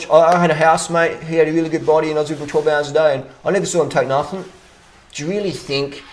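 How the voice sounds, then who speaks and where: A man's voice: medium pitch, poor audio. A man in a casual, unscripted rant as an online commentator, blunt, opinionated and freely swearing, often repeating phrases for emphasis. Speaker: online fitness commentator answering viewer comments.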